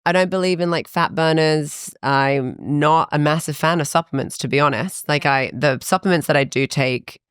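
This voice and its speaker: A woman in her thirties, a fitness coach, chatting in a podcast interview, animated and quick with asides. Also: deep voice, clean tone, no echo, studio quality.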